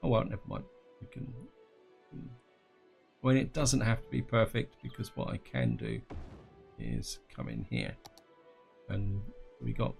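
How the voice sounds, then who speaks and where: Low gravelly guy, bit croaky, mumbling through his city build for viewers, faint music behind. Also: sing-song, a little slow, pauses often, a little mellow. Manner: thinking aloud, addressing viewers as guys. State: slightly croaky throat. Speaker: gaming channel host. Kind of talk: casual gameplay commentary.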